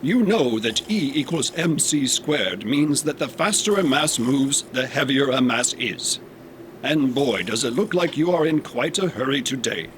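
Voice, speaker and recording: Man voicing pompous alien-minded robot, scornful, formal diction, very lively intonation, clear background noise. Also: mid-range voice, unhurried, natural voice. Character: haughty robot, scornful of humans, secretly soft. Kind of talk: sci-fi comedy audio drama acting.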